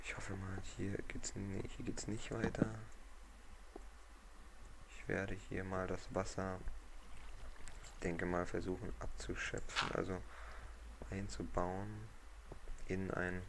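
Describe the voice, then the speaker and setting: Hoarse low-voiced man with a gravelly edge. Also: takes it slowly, long pauses. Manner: relaxed, rambling, thinks aloud. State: hoarse from a cold. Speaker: gaming channel host. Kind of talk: casual let's-play commentary. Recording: noisy home mic recording.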